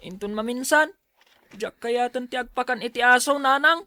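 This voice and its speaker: Timid, whiny young boy, bright voice. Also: on the high side, normal speed, no echo. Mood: upset, whiny. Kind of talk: Ilocano radio drama acting.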